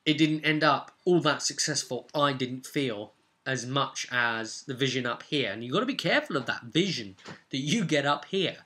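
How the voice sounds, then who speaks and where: A man's voice: on the high side, pushed and bright. A man talking casually and reflectively as a game master, rambling and questioning himself with tag questions as he goes. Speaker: game master and vlog host. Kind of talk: casual reflective monologue about tabletop role-playing.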